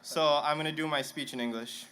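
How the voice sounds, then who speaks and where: A man's voice: a little high, steady tone, fairly quick, pushed and bright, a little hiss or hum. A young man whose first language is Punjabi, giving a nervous, self-joking student speech at an outdoor stadium ceremony into a podium microphone. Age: young adult. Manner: nervous, self-deprecating humor. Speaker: student commencement speaker. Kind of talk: commencement speech.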